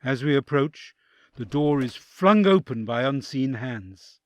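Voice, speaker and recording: An actor playing a count's anguished envoy torn by conscience, narrating an audio drama in an expressive, literary, introspective way. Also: male voice, medium pitch, a little slow, no room sound, close to an everyday voice. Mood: tense, watchful.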